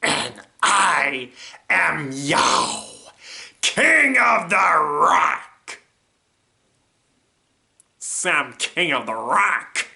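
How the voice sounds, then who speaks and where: A woman plays a short, hot-tempered male cartoon soldier with a gruff, forceful bark. The delivery is slow and belligerent, low-pitched and full of boastful swagger. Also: huge pitch swings, exaggerated voice.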